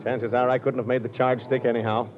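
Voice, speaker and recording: An actor playing a dogged middle-aged police inspector in a 1940s radio drama, dry and skeptical. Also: male voice, mid-range voice, level tone, fast, natural voice. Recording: muffled old radio broadcast with faint hiss.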